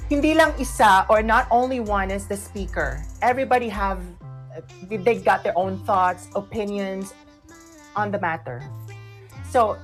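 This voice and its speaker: A woman's voice: mid-range voice, unhurried. A woman with a Filipino accent co-hosting an online radio show, explaining things casually and emphatically. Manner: emphatic, mixing in Tagalog. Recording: music playing behind the voice. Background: background music.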